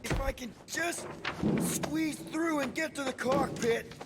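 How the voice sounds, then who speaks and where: An actor playing an earnest, flustered young leader of a spacefaring team in a video game, informal, stammering and pleading. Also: male voice, extremely high pitch, slow pace, in a large hall, very noisy. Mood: urgent, upbeat. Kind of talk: video game cutscene acting.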